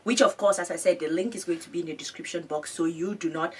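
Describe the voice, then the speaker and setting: A woman's voice: lowish voice, fast talker, slightly rough, recorded from a distance. A woman hosting an education channel, chatting through web pages on screen, repeating her points and laughing at her own pronunciation.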